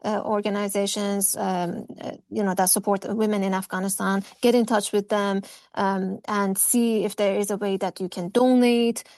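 A woman's voice: mid-range voice, flat delivery, normal speed, a little mellow, dry recording, studio quality. A woman from Iran, a reporter answering radio interview questions earnestly, with many fillers and restarts. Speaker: foreign reporter, guest.

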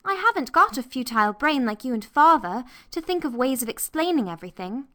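A woman's voice: high voice, sing-song, brisk, a bit bright, voice pushed up. A woman reading a storybook and voicing a young girl who speaks eagerly and apologetically. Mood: anxious. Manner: eager, apologetic, tumbling words. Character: earnest fretful young girl Anthea.

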